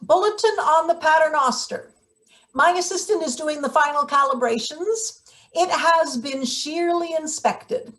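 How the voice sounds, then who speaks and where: A woman's voice: high-pitched, measured pace, fairly bright tone, rough recording. A woman acting a brusque, scheming, cynical maintenance boss in a read-through of a satirical stage play. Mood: smooth, salesmanlike. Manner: bossy, clipped, sardonic.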